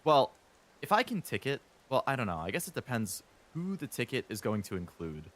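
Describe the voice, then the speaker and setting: A man streaming a game, chatting casually and rambling a bit, stuttering now and then when he talks fast. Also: medium pitch, very animated, fairly bright tone. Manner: rambling, chatty, lots of fillers.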